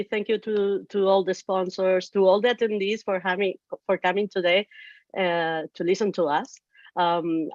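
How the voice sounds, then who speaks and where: Panelist: spanish-accented woman, lower voice, halting and earnest, dry muffled video-call audio. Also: darkish tone, dry recording. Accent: Spanish (Canary Islands). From Canary Islands, Spain. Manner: earnest, halting with many fillers and restarts. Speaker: panelist, entrepreneur. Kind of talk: panel discussion.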